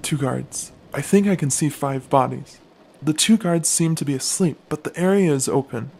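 Man playing Tibertius, wary higher-voiced Roman brother. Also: moderate pace, creaky, a little mellow. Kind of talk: audio drama acting.

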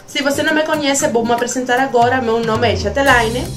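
A woman's voice: fairly high pitch, a little fast, music in the background. A young Cuban woman vlogging casually to a phone camera, speaking careful learner's Portuguese with a Spanish accent. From Cuba. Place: small room at home, indoors.

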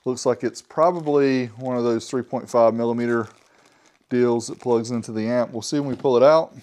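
Host type: man, forties, easygoing unboxing patter, mid-pitched, jokes to himself. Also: steady tone, normal speed, a little mellow. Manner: chatty and joking, talks to himself. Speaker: host reviewing car audio gear. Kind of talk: casual product unboxing and review.

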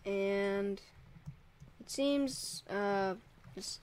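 A man's voice: high voice, drawn-out words, a little hiss or hum. A young man, probably a teen, casually commentating a Minecraft let's play as server host, rambling and easily startled. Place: home room by a window. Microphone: desk mic, drifts off it.

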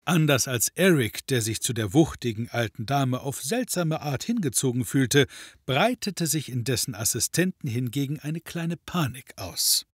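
Male narrator, raspy voice, wry, descriptive, scripted storytelling, close dry studio sound. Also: mid-range voice, expressive, moderate pace, no echo.

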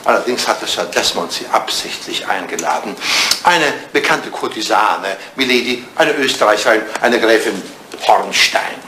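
An actor playing an elderly, devoted English butler in a 1980s German radio drama, speaking formally and deferentially with dry understatement. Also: male voice, on the low side, lively intonation, moderate pace, few pauses. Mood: grave, narrating. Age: older. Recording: roomy, noisy old radio play recording.

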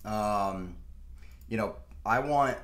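A man's voice: on the low side, very slow, fairly bright tone, reverberant. A young man hosting a casual market video, talking through charts at his desk in a loose, rambling way with lots of fillers. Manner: rambling, filler-heavy, laughs to himself. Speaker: stock market video host. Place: room at a desk, in front of a screen.

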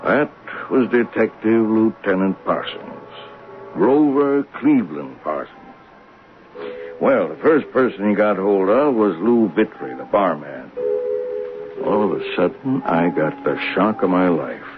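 An actor playing an earnest, shaken train conductor in a 1970s radio drama, sometimes hesitant. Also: male voice, fairly low pitch, expressive, measured pace. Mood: narrating. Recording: tinny, hissy 1970s radio recording.

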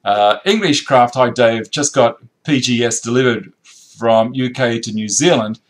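A chatty Australian man in his fifties, talking to viewers from an indoor workshop. He restarts his sentences often and talks at an ordinary pitch. The sound comes through a webcam a little way off. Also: measured pace. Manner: chatty, animated hand gestures, frequent restarts. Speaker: woodworking channel host. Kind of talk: live-stream chat reading viewer comments. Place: indoor workshop with tool racks.

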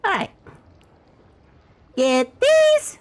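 A woman's voice: extremely high pitch, big pitch swings, very slow. A woman doing playful gameplay commentary in character, with sing-song, theatrical exclamations. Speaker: gamer playing in character.

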